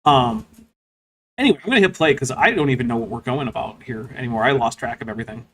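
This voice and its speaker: A man's voice: mid-range voice, expressive, quick pace, a bit bright. Casual rambling man host. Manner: casual, lots of "like" and "um", wry. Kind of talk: casual commentary on a video.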